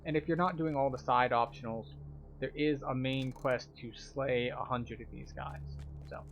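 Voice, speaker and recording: A man's voice: medium pitch, steady tone, normal speed, muffled recording, a little hiss or hum. A man giving methodical, instructional commentary as the tour guide of a video game walkthrough. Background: game music.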